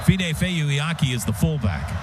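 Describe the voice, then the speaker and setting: An American man with a high-set voice calls a football game for radio. He describes the action in clipped, vivid phrases, building with the play, over loud stadium noise. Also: big pitch swings, moderate pace. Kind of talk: live football play-by-play commentary.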